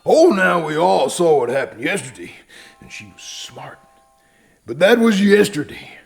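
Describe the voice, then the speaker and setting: A commanding, world-weary frontier sheriff in a western radio play. He has a Southern American drawl and a fairly high, creaky voice. His delivery is deliberate and folksy, swinging dramatically from hushed menace to booming authority. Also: sing-song, soft background music, heavily acted. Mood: grave, stern. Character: commanding, world-weary old west sheriff, stern but fatherly.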